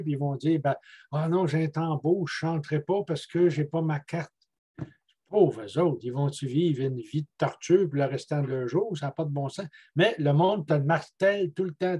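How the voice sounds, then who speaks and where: An older man speaks with a Quebec French accent, his voice a little high yet mellow in tone. He talks casually from a room at home on a video call, wandering and repeating words as he goes. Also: slightly rough. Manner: rambling, repeats words while searching for them. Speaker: guest speaker, traditional elder. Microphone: earbuds on a video call.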